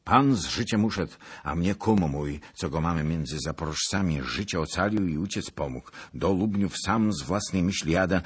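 A man's voice: deep voice, animated, moderate pace, breathy edge. A man voicing a panicked servant messenger fleeing with dire news in a historical storybook, with urgent, emphatic delivery. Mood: anxious.

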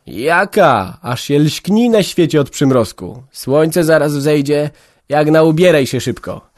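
A man's voice: a little high, expressive, slow, a little mellow, dry recording, clean studio sound, voice pushed up. A man voicing a stern, anxious old peasant mother who scolds and lectures in folksy speech. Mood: urging.

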